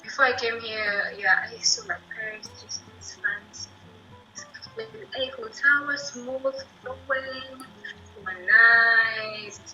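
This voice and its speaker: A woman's voice: on the high side, animated, takes it slowly, sharp tone, soft background music. A woman from Kenya giving emphatic interview answers. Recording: dull, lo-fi sound.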